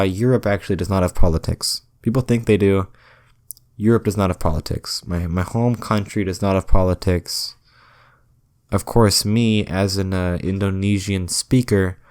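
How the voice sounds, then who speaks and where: A young man hosting a solo podcast, rambling through deadpan, self-deprecating jokes with frequent restarts. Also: sits low. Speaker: podcast host.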